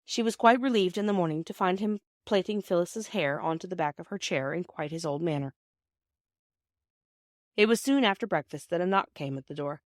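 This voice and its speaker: A woman's voice: fairly low pitch, fairly quick, no room sound, studio quality. A woman with a British accent reading a children's novel aloud as scripted storybook narration. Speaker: audiobook reader.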